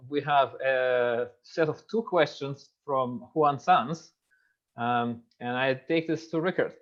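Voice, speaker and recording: A man moderating a webinar, briskly reading audience questions and handing them to panelists. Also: on the high side, dull audio.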